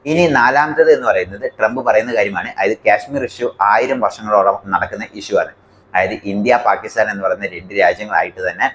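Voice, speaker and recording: Malayali guy doing news commentary, talks really fast, kinda low voice, some background noise. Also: a bit bright. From India. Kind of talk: explanatory news commentary to viewers.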